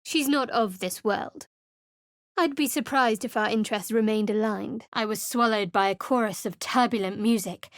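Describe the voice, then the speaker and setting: Game role: an actress as a sardonic guarded young cleric, fairly high voice, dry close studio sound. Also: female voice, moderate pace, no room sound, natural voice. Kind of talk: video game character voice lines. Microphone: close studio mic.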